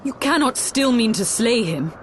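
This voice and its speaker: An actress playing a proud, idealistic, sorrowful rebel leader in a fantasy game, solemn, formal and dramatic. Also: female voice, on the high side, animated, normal speed, slight background noise, natural voice. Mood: reproachful. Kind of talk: archaic fantasy game cutscene acting.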